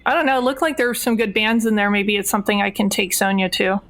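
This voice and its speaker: A woman's voice: medium pitch, steady tone, fast talker, fairly bright tone. A woman playing a tabletop game, chatting informally and thinking aloud with a wry manner. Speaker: player in a tabletop role-playing podcast. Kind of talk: casual game chat.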